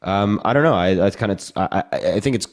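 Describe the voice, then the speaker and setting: A man's voice: lowish voice, quick pace. A man in a heated political call, blunt and exasperated, swearing as he argues back. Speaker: debate participant.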